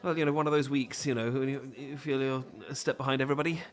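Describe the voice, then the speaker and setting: A man's voice: mid-range voice, steady tone, fast, lightly acted. A man with a British accent playing a flustered, bashful, adorkable romantic who stammers and rambles apologetically in an intimate ASMR roleplay. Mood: nervous, smitten. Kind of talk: intimate ASMR roleplay acting, addressed to listener.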